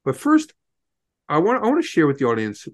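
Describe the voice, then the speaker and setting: A man's voice: a little high, wildly swooping pitch, brisk. A man hosting a podcast in a casual, enthusiastic way, often laughing and restarting his sentences. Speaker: podcast host.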